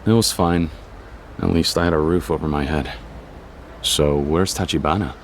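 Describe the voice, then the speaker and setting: A man acting a stoic, gruff young yakuza on the run in an English video game dub, speaking in terse, blunt, guarded lines. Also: fairly even intonation, normal speed, a little hiss or hum. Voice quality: very deep, low voice.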